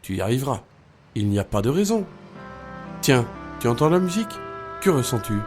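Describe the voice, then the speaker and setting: A man acting as a calm, reassuring mentor in an audio drama, encouraging and instructive. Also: mid-range voice, a little fast, soft background music, natural voice. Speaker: actor in an amateur audio fiction.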